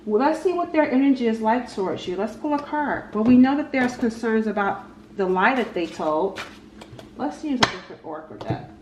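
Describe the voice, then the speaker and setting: A woman's voice: a little high, animated. Woman reading tarot in an echoey room. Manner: halting, repeats phrases, talks to spirit and cards. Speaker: tarot reader, channel host. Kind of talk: casual tarot card reading, unscripted. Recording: echoey room with faint background noise.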